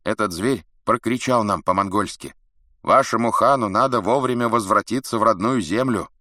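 A man's voice: on the low side, normal speed, fairly bright tone, no room sound, clean studio sound, lightly acted. A man voicing an earnest Mongol warrior reporting to his ruler in a historical storybook. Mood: earnest, awed.

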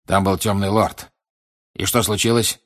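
A man voicing a grim older wizard in an audiobook, questioning in an insistent, deliberate and menacing way. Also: on the low side, fairly even intonation, a bit bright. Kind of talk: audiobook character acting.

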